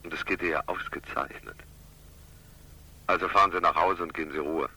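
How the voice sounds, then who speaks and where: An actor playing a suave, menacing criminal in a 1960s German radio crime drama, raspy and ironically polite. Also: male voice, a bass voice, fairly even intonation, a little fast, sharp tone, exaggerated voice, rough recording. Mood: suavely threatening. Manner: smooth-talking, ironic, condescending.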